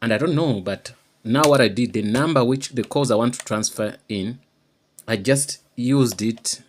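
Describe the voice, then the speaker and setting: A man giving an informal, unscripted how-to demonstration, halting and repeating himself as he explains each step. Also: medium pitch, a little hiss or hum. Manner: halting and repetitive, often checking understanding. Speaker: tutorial presenter.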